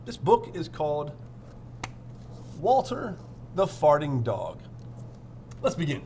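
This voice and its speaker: A man's voice: a little high, sing-song, takes it slowly, warm tone, a little hiss or hum. A man reading a picture book aloud, adding playful, informal asides as he goes. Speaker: read-aloud storyteller.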